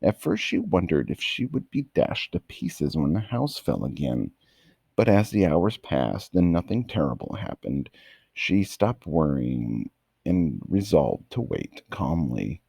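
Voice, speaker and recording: A man with a gravelly, husky voice reading a children's bedtime story aloud in an expressive, dramatic manner. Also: a bass voice, measured pace, dry recording, studio quality.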